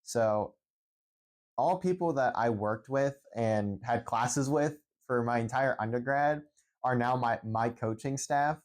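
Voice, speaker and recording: A man's voice: mid-range voice, slow. A young man from Central Pennsylvania talks unscripted into his phone at home, rambling with many restarts and fillers. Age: young adult. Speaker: esports head coach. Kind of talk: unscripted vlog monologue.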